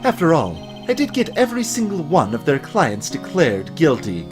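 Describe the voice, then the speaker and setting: Man voicing a haughty, bitter attorney, swooping pitch. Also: wildly swooping pitch, moderate pace, over music. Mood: sardonic. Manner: haughty, clipped, sardonic. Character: proud, bitter male attorney, coldly defensive and scornful.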